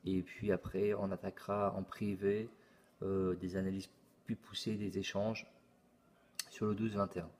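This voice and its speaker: A middle-aged man hosting his channel, explaining a forum casually, halting often and repeating words with many fillers. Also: low voice, level tone, a little mellow. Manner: plain explanatory tone. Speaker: YouTube channel host. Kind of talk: casual screen-recorded forum walkthrough.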